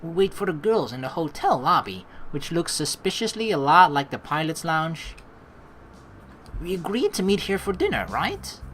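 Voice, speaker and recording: A woman's voice: deep voice, very animated, normal speed, a bit bright, a little hiss or hum. A young woman hosting a let's play, teasing and slangy as she mixes casual commentary with reading the game's narration aloud. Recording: faint game music behind the voice.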